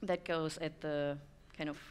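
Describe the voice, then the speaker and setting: A woman's voice: deep voice, level tone, unhurried, smooth. A German woman in her thirties giving a conference talk to an audience, thoughtful, with many "um" fillers. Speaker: speaker. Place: dim stone-walled room with audience.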